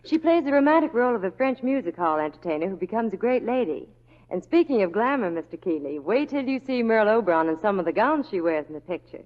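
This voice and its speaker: A woman's voice: medium pitch, sing-song, quick pace. A woman working as a Hollywood reporter, delivering scripted promotional chat in 1940s radio style. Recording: dull vintage radio recording.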